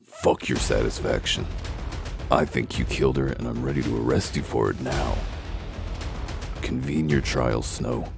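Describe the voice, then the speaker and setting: A man playing a gruff, foul-mouthed wolf detective in a comic dub, questioning people bluntly and accusingly. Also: measured pace, over music, heavily acted. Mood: accusing, sardonic. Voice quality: very deep, gravelly.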